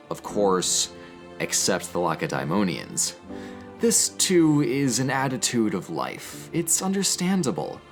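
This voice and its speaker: A young man speaking as a presenter of history and poetry, earnest and emphatic in an educational delivery. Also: mid-range voice. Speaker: presenter reading and explaining poetry. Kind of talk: casual educational commentary with dramatic poetry reading.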